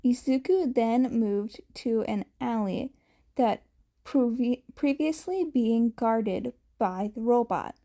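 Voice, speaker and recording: A woman's voice: fairly high pitch, takes it slowly, mellow. A woman narrating a scripted story as a podfic reader, occasionally stumbling over the script.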